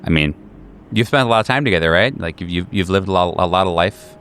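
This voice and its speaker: A man narrating an improvised tabletop game as game master, in a conversational, halting way with repeated words. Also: deep voice, animated, a bit bright, slight background noise.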